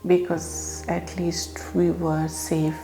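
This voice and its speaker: A woman from Pakistan, speaking haltingly and hesitantly in a personal interview testimony. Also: fairly low pitch, flat delivery. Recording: echoey room with loud music behind.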